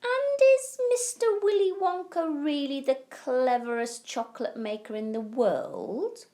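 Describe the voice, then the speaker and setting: A woman's voice: high-pitched, animated, measured pace, warm tone, pitched above the performer's own voice. A woman voicing a curious, eager little boy in a children's story. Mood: curious, wondering. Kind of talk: children's storybook character reading.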